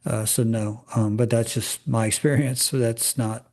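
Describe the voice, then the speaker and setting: A man who worked as an FBI agent, giving measured commentary as an interview guest with many pauses and fillers. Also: medium pitch, fairly even intonation, darkish tone.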